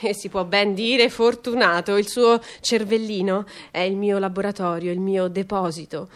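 A woman performing a dramatic literary reading for radio theatre, theatrical and emphatic, moving between quiet asides and louder bursts. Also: medium pitch, fairly even intonation, normal speed. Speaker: stage reader performing a literary monologue.

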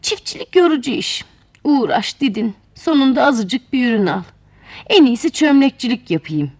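A woman's voice: on the high side, sing-song, moderate pace, darkish tone, no room sound. A woman voicing a lazy, self-pitying village man in a fairy tale, complaining and grumbling. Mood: lazy, dismissive.

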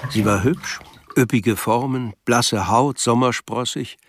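A man's voice: medium pitch, slow, noisy background. A man acting as the observant, wry narrator of a German radio drama, reading scripted literary prose. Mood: reflective.

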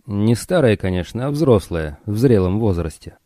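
A roguish, wry man who roams between planets, played by a male audiobook reader. His voice is low and mellow, his manner teasing, and the recording is dry. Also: lively intonation, normal speed, no echo. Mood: explaining. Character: wry roguish male space traveller, adult.

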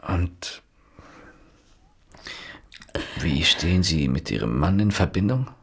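An actor playing a weary, brooding police inspector who probes and reflects in a gravelly voice, in a radio drama. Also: male voice, low voice, expressive, moderate pace, slight background noise. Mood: questioning. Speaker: police inspector leading an investigation. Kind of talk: radio drama acting and interior monologue.

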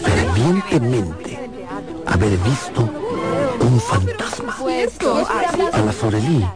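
A man's voice: fairly low pitch, sing-song, slow. A man narrating a radio drama in a theatrical, scripted style, drawing out words for emphasis. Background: loud music.

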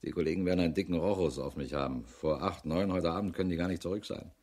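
An actor playing a harried, middle-aged police investigator in a radio drama, matter-of-fact and dry. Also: male voice, low voice, quick pace, a little mellow. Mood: harried, grumbling. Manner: matter-of-fact, dry, clipped official phrasing. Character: harried, world-weary male police investigator with a wife. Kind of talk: German radio drama acting, dictated report.

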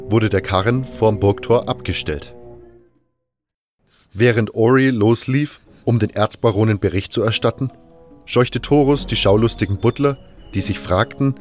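A man reading a scripted first-person fantasy story as narrator, in a measured, literary delivery. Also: on the low side. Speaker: narrator of a fan audio drama.

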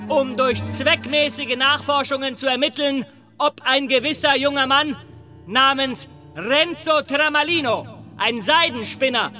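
An actor playing a stern official declaiming an arrest order in a German radio play. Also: male voice, very high voice, pushed and bright.

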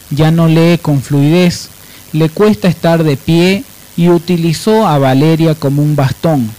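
Male radio host, fairly high voice, sardonic and emphatic commentator. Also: warm tone, low-quality recording.

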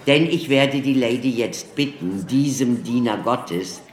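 A man's voice: mid-range voice, unhurried, close to an everyday voice. A man in a German radio drama playing a courteous, persuasive showman of science with a grandiloquent, theatrical manner. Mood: suave, persuasive. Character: showman doctor giving electricity demonstrations, courteous, theatrical, persuasive. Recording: echoey hall with audience murmur.

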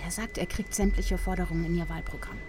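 An actress playing a controlled, insistent political operative in a radio drama, speaking in a businesslike, pressing way. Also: female voice, on the low side, steady tone, fast, noisy background, natural voice. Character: cool, insistent woman negotiator, a conspiring political operative.

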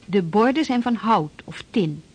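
A woman's voice: mid-range voice, expressive, unhurried, a little mellow, faint music behind. A woman giving matter-of-fact scripted historical narration within a radio play. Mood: informative.

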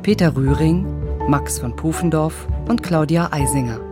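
A woman's voice: deep voice, moderate pace, music in the background. A woman reading the closing credits of a radio play as a scripted announcement.

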